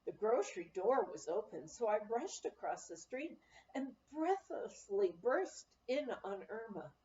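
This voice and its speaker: A woman reading a memoir aloud as expressive storytelling, acting out the dialogue as she goes. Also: medium pitch, measured pace. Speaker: audiobook reader. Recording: roomy, slightly dull home recording.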